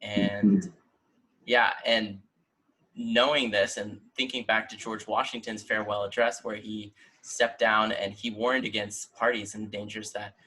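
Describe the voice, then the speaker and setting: A man's voice: fairly low pitch, little pitch movement, unhurried, pushed and bright, husky, low-quality recording. A man in his twenties with an American accent, hosting a casual discussion podcast over a video call. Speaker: host. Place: indoor room at home, video call.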